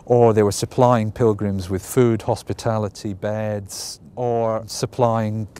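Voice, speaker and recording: A man's voice: on the low side, level tone, slow, dark tone, clear, resonant tone. A man explaining things in a conversational way, hedging with fillers as he goes. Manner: conversational, hedging with "you know" and "um". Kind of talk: explanatory talk.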